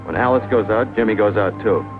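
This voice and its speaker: An actor playing a wry young American bachelor in a 1940s film. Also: male voice, fairly low pitch, fast, lightly acted. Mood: wry, resigned. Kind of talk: 1940s film drama acting. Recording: tinny old film soundtrack with music.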